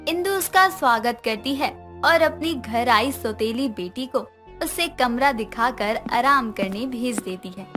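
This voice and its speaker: Female storyteller, high voice, scripted moral-tale narration, light music behind. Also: animated, measured pace, sharp tone.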